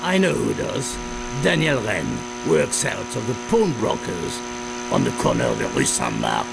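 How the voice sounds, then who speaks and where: An actor playing a wary, tough, sardonic male crime boss in a video game cutscene, with a gravelly voice. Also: lowish voice, huge pitch swings, a little slow, exaggerated voice. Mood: businesslike, guarded. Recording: loud hum and noise behind voice.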